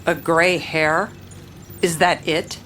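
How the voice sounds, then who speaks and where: A woman's voice: sits low, fairly even intonation, slow pace, fairly bright tone, a little hiss or hum. A woman playing a level-headed police commissioner who narrates her case in a scripted audio drama. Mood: probing, incredulous.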